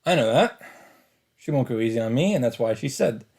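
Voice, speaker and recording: A man's voice: mid-range voice, lively intonation, fairly quick, a little mellow. A man reading visual novel narration aloud as a let's play host, with casual asides.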